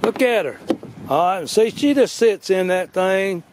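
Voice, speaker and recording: A man's voice: high-pitched, lively intonation, unhurried, a little hiss or hum. Folksy older man outdoors. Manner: rambling, folksy, self-correcting. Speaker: vlog host. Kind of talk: casual outdoor demo. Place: outdoors on a grassy yard.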